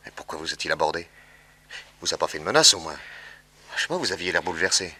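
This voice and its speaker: An actor playing a shrewd, protective detective in a French radio serial, brisk, familiar and self-assured. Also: male voice, low voice, expressive, pushed and bright, husky, full character voice. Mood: concerned, brisk.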